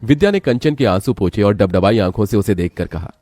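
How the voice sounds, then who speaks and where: Low, mellow male storyteller. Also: expressive, a little fast, dry recording, clean studio sound. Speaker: narrator. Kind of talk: scripted story narration.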